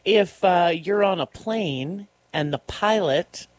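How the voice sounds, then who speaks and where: Quick, laughing radio co-host woman. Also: on the low side, animated, no room sound. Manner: quick-witted, teasing, laughs easily. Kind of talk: casual morning show banter. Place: radio studio.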